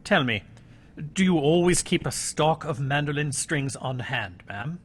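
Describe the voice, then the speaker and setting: An actor playing a courteous but quietly suspicious police inspector in a radio mystery, questioning politely. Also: male voice, on the high side, lively intonation, a little slow, slight background noise. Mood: probing. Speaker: actor in audio drama. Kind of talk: old-time radio mystery acting.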